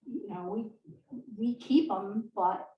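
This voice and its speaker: A woman from a historical society adding remarks during a Q&A. She speaks haltingly with many "um" fillers. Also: medium pitch, dull audio. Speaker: historical society member commenting. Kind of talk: informal remarks in a Q&A. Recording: distant, roomy, faint background noise.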